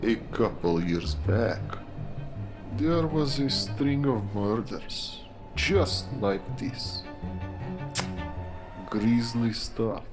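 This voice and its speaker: An actor playing a grim, insinuating police detective in a noir audio drama, with a deliberate, sly, theatrical delivery. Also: male voice, medium pitch, animated. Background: music.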